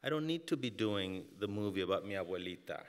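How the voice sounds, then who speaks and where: A man's voice: fairly low pitch, lively intonation, fast. A man from Mexico, a film and TV director speaking on a live panel, reflective and full of "you know" fillers and restarts. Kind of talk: panel discussion.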